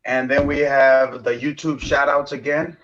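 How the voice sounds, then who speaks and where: A man's voice: medium pitch, little pitch movement, measured pace, crowd noise. Man, podcast co-host, laid-back casual talk, some Spanish slang, a bit muffled. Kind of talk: casual chat.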